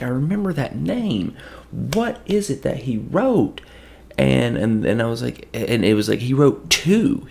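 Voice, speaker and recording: A hoarse man with a gravelly voice from allergies, reviewing a movie in a rambling, casual way with lots of restarts. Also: mid-range voice. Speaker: podcast host.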